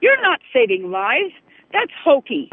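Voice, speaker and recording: A woman's voice: sits high, wildly swooping pitch, measured pace, no echo, narrow, tinny audio. A woman from Alaska calling a radio show on a cell phone, indignant and challenging as she argues. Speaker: caller. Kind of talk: radio call-in argument.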